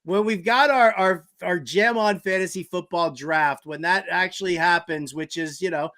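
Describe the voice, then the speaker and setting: High-pitched man hosting casual sports talk. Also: normal speed, sharp tone. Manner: slangy, jokey, informal. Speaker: sports talk show host. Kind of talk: casual comedic banter and interviewing.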